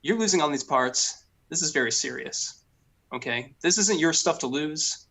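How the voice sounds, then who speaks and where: A man's voice: on the high side, lively intonation, moderate pace, bright, forceful tone. A man voicing a stern, officious older noblewoman investigator in a tabletop game, commanding and insistent.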